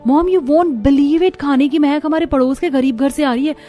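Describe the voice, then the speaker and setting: A woman voicing an excitable rich modern granddaughter in a cartoon, mixing English into her speech. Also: high voice, normal speed, soft background music, natural voice. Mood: amazed.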